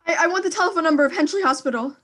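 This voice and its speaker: A woman's voice: very high voice, rapid-fire, fairly bright tone. A woman playing a panicking, demanding, self-pitying invalid wife in an old-time radio thriller, fretful and stammering. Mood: impatient, flustered.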